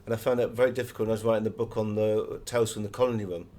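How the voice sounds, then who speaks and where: A man's voice: on the low side, level tone, rapid-fire, mellow. A man. He speaks as an author being interviewed, answering conversationally and telling anecdotes as he goes.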